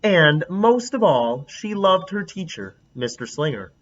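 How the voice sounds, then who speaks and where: A man reading a picture book aloud as a teacher, a lively storyteller who stops to comment on the pictures. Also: on the high side, measured pace, muffled recording.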